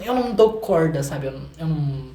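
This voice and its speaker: An echoey room carries the voice of a young Brazilian man with a fairly flat, light delivery. He chats casually as an interview guest, informal and a little self-deprecating about himself. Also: fairly high pitch, brisk. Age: young adult. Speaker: interview guest, singer-songwriter. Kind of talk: casual chat.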